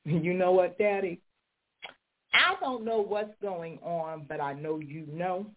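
A woman talk show host preaching with fervor, her voice slow, husky and congested from illness. She comes through a thin, tinny phone line. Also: fairly low pitch. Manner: fervent, decreeing, rising to preaching fervor. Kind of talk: faith-based radio talk, preaching.

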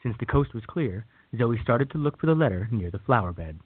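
A man's voice: medium pitch, expressive, a little fast, breathy edge, dry recording. A man narrating a scripted radio drama as a storyteller. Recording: tinny, phone-like narrow band.

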